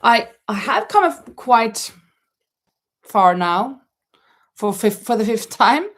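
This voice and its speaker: A woman's voice: fairly high pitch, big pitch swings, slow pace. A middle-aged woman hosting a casual live crochet chat, laughing often and reading viewer comments aloud. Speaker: livestream host. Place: home in Spain.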